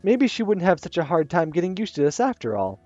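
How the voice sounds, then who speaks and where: Man reading game narration with lively pitch. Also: fairly quick, no room sound, highs cut off, soft background music. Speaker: game streamer. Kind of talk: reading visual novel narration aloud.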